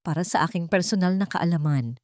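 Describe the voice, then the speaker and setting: A woman's voice: lowish voice, fairly quick, dry recording, studio quality, lightly acted. A woman narrator with a Filipino accent voicing a determined, courteous young chairwoman in an audio story. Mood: calm. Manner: calm, courteous.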